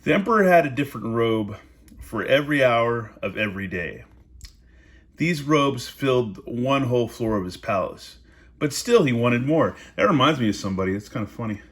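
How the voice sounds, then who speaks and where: A man's voice: medium pitch, animated, moderate pace. A man reading a story aloud in a casual, amused way, breaking off into informal asides.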